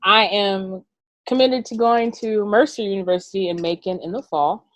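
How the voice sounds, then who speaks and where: A woman's voice: mid-range voice, moderate pace, a bit bright, no echo. A woman in her twenties from Atlanta, talking casually on a panel with a hesitant manner and many fillers. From Atlanta, Georgia. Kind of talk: casual chat.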